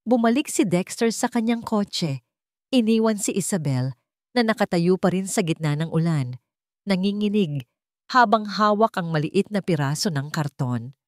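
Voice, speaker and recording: A woman's voice: mid-range voice, animated, normal speed, no room sound, clean studio sound. A woman reading a romance story as a dramatic scripted narration.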